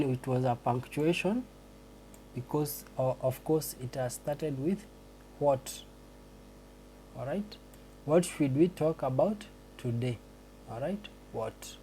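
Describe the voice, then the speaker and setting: A man giving a screen-recorded tutorial on a transcription test, explaining slowly and hesitantly with many restarts. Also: fairly high pitch, dark tone, a little hiss or hum, poor audio.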